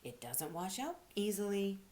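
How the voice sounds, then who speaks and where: A woman voicing a gruff, stern, very old and large male rabbit in a children's storybook. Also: medium pitch, very animated, moderate pace, a little mellow, natural voice. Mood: stern, instructive.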